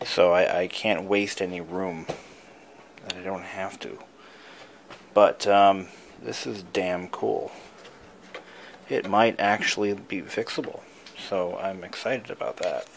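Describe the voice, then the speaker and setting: A man with a fairly low voice talks very slowly, as if working things out as he goes. He is casual and halting, like a hobbyist showing off a project. There is clear noise behind him. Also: steady tone. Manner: musing, thinking aloud, halting. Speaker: hobbyist showing an old device he is restoring. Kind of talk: casual unscripted show-and-tell vlog.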